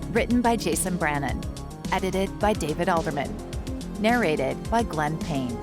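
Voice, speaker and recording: A woman's voice: lowish voice, animated, moderate pace, music in the background. A woman announcer giving a scripted read of production credits.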